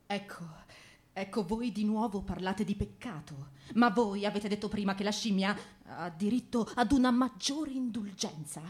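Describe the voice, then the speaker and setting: A woman's voice: mid-range voice, normal speed, close to an everyday voice. A woman playing a timid but stubborn young priest in a theatrical radio drama, earnest and questioning. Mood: hesitant.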